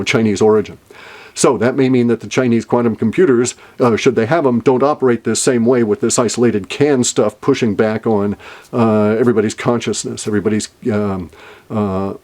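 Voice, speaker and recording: A man's voice: mid-range voice, darkish tone. An older man hosting a solo talk, rambling and speculative, with many fillers and restarts. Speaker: podcast host. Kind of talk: casual solo monologue.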